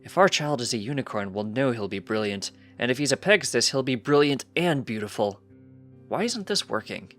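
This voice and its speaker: A man's voice: mid-range voice, expressive, fairly quick, a bit bright, soft background music, pitched above the performer's own voice, lightly acted. A man voicing a distracted, breezy male unicorn scientist, a doting husband in a storybook reading. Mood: cheerful.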